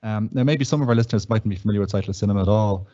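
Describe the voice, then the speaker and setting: A man's voice: on the low side, rapid-fire, warm tone, dull audio. A man hosting a conversational interview, often restarting his questions and repeating phrases. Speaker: host, interviewer.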